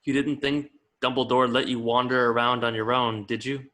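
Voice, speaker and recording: A man playing a composed, protective wizard guard in an amateur script read, measured and instructing. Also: mid-range voice, flat delivery, sharp tone, close to an everyday voice. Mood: calm, instructing.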